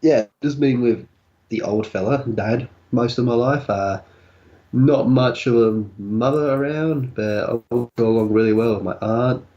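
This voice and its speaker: A man's voice: medium pitch, a little slow, mellow, muffled recording. A man in his twenties from Adelaide with an Australian accent, chatting casually as a guest and dropping Aussie slang. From Adelaide, South Australia. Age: 20s. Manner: laid-back, uses Aussie slang. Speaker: guest, gaming YouTuber. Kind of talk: casual interview chat.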